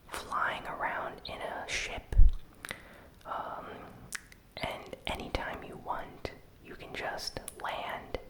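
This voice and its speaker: A host whispering a gaming news recap in a soft, unhurried ASMR style. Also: poor audio. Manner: slow, soft, unvoiced whispering.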